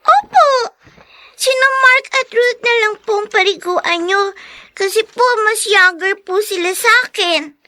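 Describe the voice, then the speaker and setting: A little Filipino girl of seven in a radio drama. The voice sits very high and bright, and she is polite and pleading. The studio sound is dry and close. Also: expressive, measured pace, no echo, exaggerated voice. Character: polite seven-year-old girl, eager to be independent.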